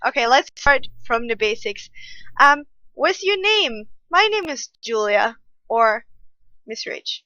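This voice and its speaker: Bright high Austrian woman vlogging. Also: sing-song, takes it slowly, no room sound, dull audio. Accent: Austrian German. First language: German. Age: 20s. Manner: chatty, self-mocking, many ums. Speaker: streamer. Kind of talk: casual vlog to camera. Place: home room at night.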